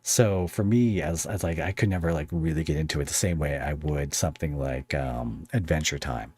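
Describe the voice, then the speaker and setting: Low-voiced man chatting casually. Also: normal speed, a little mellow, husky. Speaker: podcast host interviewing a guest. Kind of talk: casual pop culture chat.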